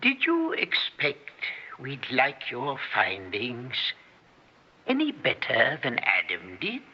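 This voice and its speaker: Slow, husky, menacing gunman. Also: mid-range voice, huge pitch swings, exaggerated voice. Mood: pointed, ominous. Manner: deliberate, pointed questioning. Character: quietly menacing male colleague turned gunman. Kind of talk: old-time radio drama acting. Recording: dull, band-limited old radio recording.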